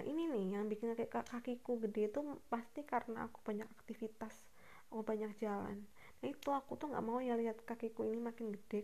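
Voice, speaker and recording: A young woman talks alone into her podcast mic in a loose, unplanned way, jumping between topics and restarting her sentences as she goes. Her voice sits a little higher than most women's, and she speaks quickly with a chatty, self-correcting flow. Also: creaky, darkish tone. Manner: fast-talking. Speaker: podcast host. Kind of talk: spontaneous solo podcast monologue.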